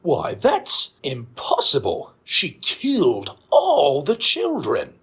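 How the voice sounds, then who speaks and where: A man playing an incredulous, gloomy army captain with a rough husky voice in an audio Bible drama. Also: a little high, huge pitch swings, takes it slowly, a bit bright, heavily acted. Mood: disbelieving.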